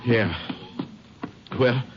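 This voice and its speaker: An actor playing a young married father in a 1950s radio drama, earnest and lively. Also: mid-range voice, drawn-out words. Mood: shaken. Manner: earnest, rising to anguished shouts. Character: young married father, shocked grieving son. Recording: muffled 1950s radio recording with hiss.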